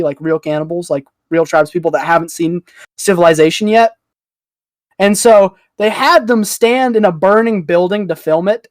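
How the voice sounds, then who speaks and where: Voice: mid-range voice, a little mellow, dry recording. A person chatting casually on a group podcast, telling things in a slangy, joking way. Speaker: podcast panelist.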